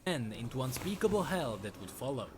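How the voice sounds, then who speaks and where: A man narrating a dark fantasy story from a script in a dramatic storytelling style. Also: mid-range voice, very animated, fairly quick, a little hiss or hum. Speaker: lore narrator.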